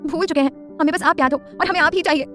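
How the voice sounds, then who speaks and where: Woman playing a bashful young wife, soft and pleading, high voice, quick delivery. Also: soft background music. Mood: shy. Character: shy timid young wife, gentle and pleading.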